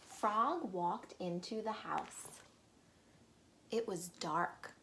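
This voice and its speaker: A woman reading a storybook aloud to young children, expressive and pausing to ask the listeners questions. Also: a little high, noisy background.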